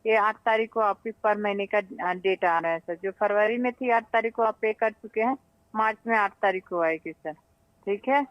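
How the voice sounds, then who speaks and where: A smooth, clear-voiced woman with an Indian accent speaks as a customer service agent on a recorded phone call. She is polite and somewhat halting, going over figures again and often checking that the listener follows. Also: medium pitch. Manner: polite, repeatedly checks understanding. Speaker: loan company call centre agent.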